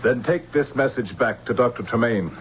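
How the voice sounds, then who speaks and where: An actor playing a stern, authoritarian general with a curt manner in a radio drama. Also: male voice, mid-range voice, moderate pace, muffled recording, natural voice. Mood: brisk, commanding. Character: stern, overbearing male general. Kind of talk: 1970s radio drama acting. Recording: slightly noisy, band-limited old radio.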